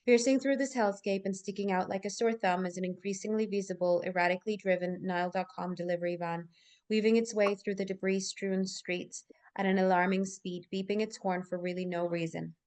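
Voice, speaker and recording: A woman's voice: medium pitch, fairly even intonation, fairly quick, a little mellow. A woman reading scripted stage directions as narrator at a live table read, in full descriptive sentences.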